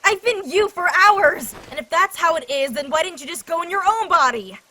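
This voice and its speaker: A woman voicing a prickly, lonely high school student who is quick to argue, in an amateur anime fan dub. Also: lively intonation, pushed and bright, full character voice, low-quality recording. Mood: indignant.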